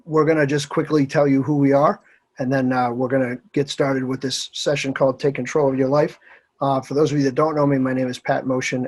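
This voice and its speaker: A man in his forties introducing a webinar panel, relaxed and conversational with occasional uhs. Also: medium pitch. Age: 40s. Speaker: panelist and committee member.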